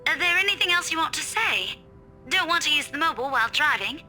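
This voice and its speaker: Very bright, high-pitched young schoolteacher. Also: female voice, expressive, fast, soft background music, exaggerated voice. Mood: friendly, awkward. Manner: informal, clipped, hesitant phrasing. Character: friendly, awkward young female schoolteacher, casual and hurried. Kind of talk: visual novel voice acting.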